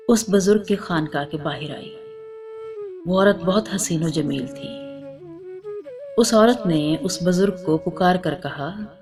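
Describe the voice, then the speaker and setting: A woman narrating a scripted religious moral story in a formal, measured way, as a storyteller. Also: on the low side. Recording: music under the voice.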